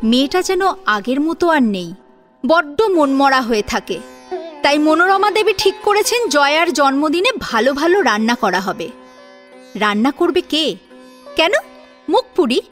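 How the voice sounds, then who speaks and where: A woman narrating a scripted audio drama serial in an expressive storytelling manner. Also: high-pitched, unhurried. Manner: expressive storytelling with rhetorical questions. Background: soft sitar and plucked string music.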